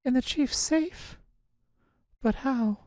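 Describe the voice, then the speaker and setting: A woman's voice: sits high, slow, noisy background. A woman voicing an earnest female detective giving testimony, reading video game dialogue. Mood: startled.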